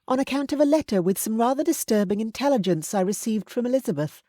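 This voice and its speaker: Woman narrator as a tart middle-aged aunt, pointed and scolding, lively, dry sound. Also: fast talker, dry recording. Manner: pointed and scolding, raised pitch.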